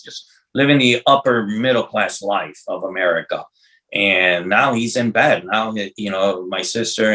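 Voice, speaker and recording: A man in his fifties with a fairly low voice hosts a podcast. He talks in a loose, rambling, conversational way, repeating words as he goes and throwing in casual slang. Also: a bit bright, rough recording. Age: 50s. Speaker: podcast host. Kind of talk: casual, rambling interview chat.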